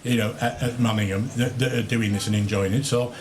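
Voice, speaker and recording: A man's voice: medium pitch, brisk, a crowd in the background. A man hosting a live radio interview outside, encouraging and repeating back what guests tell him. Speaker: radio host. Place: outdoors in a city square. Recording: outdoor broadcast with steady background noise. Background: outdoor ambience.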